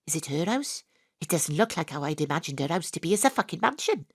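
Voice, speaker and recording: A woman's voice: sits low, big pitch swings, fast, slightly rough, dry recording, clean studio sound, full character voice. Sweary, breezy woman detective narrating. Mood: irreverent. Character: brash sweary woman private detective, adult. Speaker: narrator and lead character. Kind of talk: first-person comic audio drama narration. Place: home studio.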